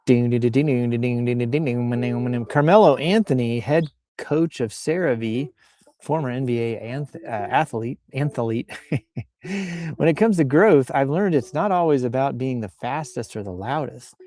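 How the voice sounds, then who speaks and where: A man's voice: mid-range voice, normal speed, mellow. A man hosting in a casual, improvised comic style, playfully reading posts aloud and rambling off into asides. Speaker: host reading and riffing on social media posts.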